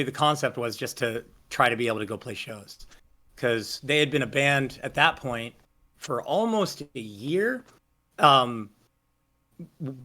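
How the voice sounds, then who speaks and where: A low-voiced man tells stories in a loose, rambling way, stacking up fillers and restarting his sentences. His tone is bright and forward, and he swears easily. He is talking over a video call. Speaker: singer interviewee. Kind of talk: casual interview storytelling.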